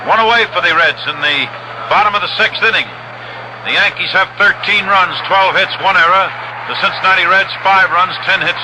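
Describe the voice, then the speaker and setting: A man with an American accent giving measured, descriptive live radio commentary as a baseball play-by-play announcer. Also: high-pitched. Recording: noisy vintage radio broadcast. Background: steady stadium noise outdoors.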